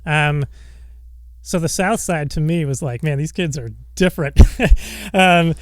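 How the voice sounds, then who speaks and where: A man's voice: fairly high pitch, measured pace, dry recording, studio quality. A young man hosting a casual podcast interview, rambling with fillers and restarts. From Alaska, raised in Seattle. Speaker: podcast host.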